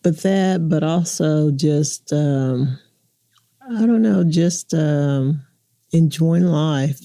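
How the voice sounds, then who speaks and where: A woman in her sixties chats casually and reflectively as an interview guest. Her voice is deeply mellow and relaxed, with plenty of creak. The sound is dry and close. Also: drawn-out words, no room sound. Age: 60s.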